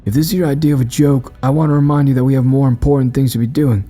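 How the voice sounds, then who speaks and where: A man's voice: normal speed, dark tone, breathy edge, soft background music, natural voice. A man voicing a nervous, shaken male survivor who tries to sound brave, in an audio storybook. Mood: nervous, indignant. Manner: nervous, pitched above the narration.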